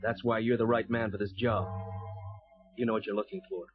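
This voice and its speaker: A man playing a steady, authoritative military commander giving a matter-of-fact briefing in a children's audio story. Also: on the low side, a little fast, soft background music, natural voice. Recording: dull vintage cassette.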